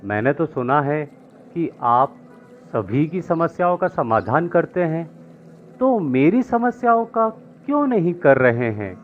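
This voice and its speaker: A man's voice: a little high, big pitch swings, measured pace. A man voicing a distressed, complaining farmer in an acted moral story, pleading and dramatic. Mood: shocked, pleading. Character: distressed, complaining farmer seeking a holy man's help. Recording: muffled lo-fi with music behind.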